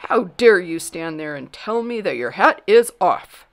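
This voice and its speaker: A woman voicing a pompous, angry king from a storybook, loud, commanding and indignant. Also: fairly low pitch, very animated, moderate pace, heavily acted. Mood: angry, demanding. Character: pompous, furious king demanding obedience. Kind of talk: dramatic storybook character voice.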